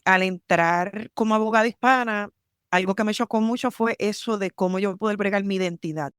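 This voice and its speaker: A woman from Puerto Rico with a Puerto Rican accent, telling her story in a lively interview and mixing English terms into her Spanish. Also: medium pitch, brisk, smooth, dry recording, studio quality. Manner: animated, emphatic, mixes English terms into Spanish. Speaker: army lawyer, guest.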